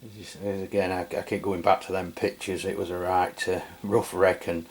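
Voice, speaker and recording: Deep-voiced man, casual reviewer. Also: flat delivery, fairly quick, breathy edge. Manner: hesitant, rambling, matter-of-fact. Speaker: radio review host. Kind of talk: casual hands-on product review.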